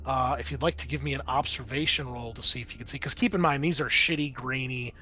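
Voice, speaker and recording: A man's voice: medium pitch, fast talker, sharp tone, lo-fi sound. A man running a tabletop roleplaying game as game master, improvising scene narration and explaining dice rolls.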